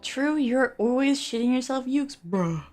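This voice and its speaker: Quiet, playful young woman streamer. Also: high voice, lively intonation, measured pace, faint music behind. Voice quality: quiet, soft voice. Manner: playful, giggly, swears freely, chatty with viewers. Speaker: VTuber streamer. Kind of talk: casual live-stream chat while drawing. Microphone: close desk microphone, keyboard clicks audible.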